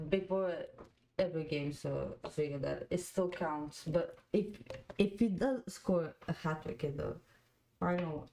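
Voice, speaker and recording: Fan-stream host, woman in her twenties, Croatian native, low pitch, slow relaxed delivery, chatty. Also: poor audio. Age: 20s. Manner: chatty, laughs often, reads out viewer comments. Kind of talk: casual live watch-along chat.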